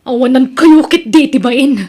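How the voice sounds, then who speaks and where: A woman's voice: high-pitched, normal speed, darkish tone. A woman acting a jealous, bitter, sarcastic wife in an Ilocano radio drama, with an Ilocano accent. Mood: accusing.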